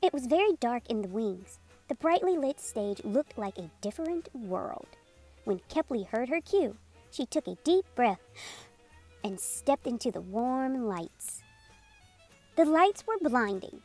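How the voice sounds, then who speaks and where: A woman reading a children's picture book aloud as a storytime host, in an expressive, unhurried storytelling manner. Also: a little high. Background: music playing under the voice.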